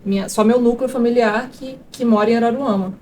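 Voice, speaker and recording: A woman's voice: fairly high pitch, steady tone, fairly quick, mellow, a little hiss or hum. A woman, a guest chatting casually in an interview, rambling with fillers and restarts. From Brazil. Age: 30s. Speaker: guest actress and producer.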